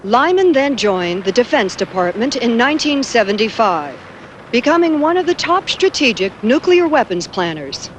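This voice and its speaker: A serious female correspondent in a TV drama newscast. Her voice is fairly high and strongly inflected, with formal scripted delivery. The 1980s broadcast sound carries slight noise. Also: very animated. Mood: serious, informative. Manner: formal, measured newsreader delivery. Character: serious female TV news correspondent narrating a background report. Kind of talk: scripted news narration in a TV drama.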